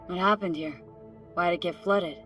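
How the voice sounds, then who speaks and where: An actress playing a curious, dryly supportive woman mercenary on a dive in a video game, speaking in a terse, low-key, questioning way. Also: normal speed, fairly bright tone, faint music behind, close to an everyday voice. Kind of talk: video game voice acting, casual dialogue.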